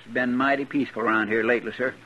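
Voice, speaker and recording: A man playing an excitable, grumbling but loyal cowboy sidekick with a folksy, fussy Southern drawl in a 1950s radio western. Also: mid-range voice, rapid-fire. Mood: riled up. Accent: American Southern drawl. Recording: dull, noisy old radio recording.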